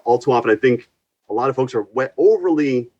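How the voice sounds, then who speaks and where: A man's voice: medium pitch, expressive, fast talker, a little mellow, highs cut off. A man speaking as an instructor in a conversational interview, earnest and given to acting out little quotes as he explains. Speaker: university physics and astronomy instructor, guest.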